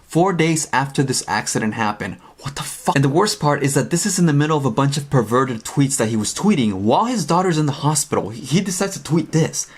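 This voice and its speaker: A man's voice: mid-range voice, animated, fairly quick, few pauses, breathy edge. A young man giving casual opinion commentary in an emphatic, incredulous and opinionated way. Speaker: commentary video host.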